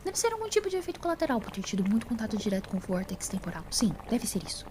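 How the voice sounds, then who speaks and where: A woman voicing a quirky, energetic female time traveller in an audio story, talking in a quick, rambling way. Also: on the high side, background noise, pitched above the performer's own voice. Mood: nervous, musing. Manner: quick, rambling, self-reassuring. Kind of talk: audio drama fan story.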